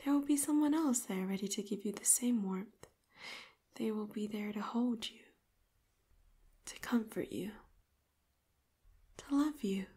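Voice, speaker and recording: A woman voicing a devoted, possessive young female robot assistant in an ASMR roleplay, speaking in a soft half-whisper and calling the listener Master. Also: mid-range voice, a little slow, pauses often, husky. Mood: tender. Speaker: voice actor.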